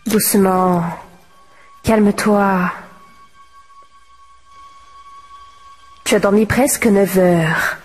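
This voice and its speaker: Woman as a breathy, coy, dreamy teenage girl. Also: medium pitch, fairly even intonation, slow, soft background music. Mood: soothing. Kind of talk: amateur film dubbing.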